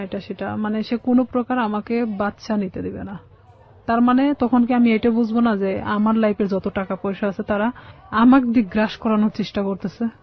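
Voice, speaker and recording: A lo-fi, muffled recording carries a woman with a Bangladeshi accent. She tells her own life story as an interview guest, explaining conversationally and in a roundabout way. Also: on the high side. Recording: dull, lo-fi voice sound.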